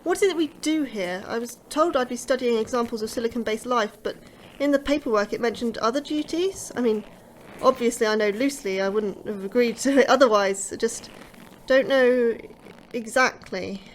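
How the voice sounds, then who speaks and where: An actress playing an anxious, earnest astrobiologist in an audio drama, speaking in a polite, hesitant and questioning way. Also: female voice, fairly high pitch, slight background noise, close to an everyday voice, low-quality recording. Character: anxious, earnest woman astrobiologist, newly arrived. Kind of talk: audio fiction acting.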